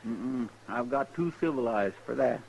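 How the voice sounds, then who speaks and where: Creaky, folksy frontier sidekick. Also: male voice, medium pitch, expressive, unhurried, a little mellow, poor audio. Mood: cheerful, defensive. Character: easygoing, folksy, lazy marshal's helper. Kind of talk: 1950s radio western acting. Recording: old radio recording, faint noise.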